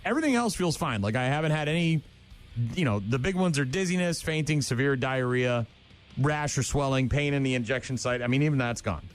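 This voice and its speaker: A middle-aged man hosting a morning radio show, telling stories in blunt, joking banter that gets animated. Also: mid-range voice, brisk, soft background music. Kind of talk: casual on-air banter.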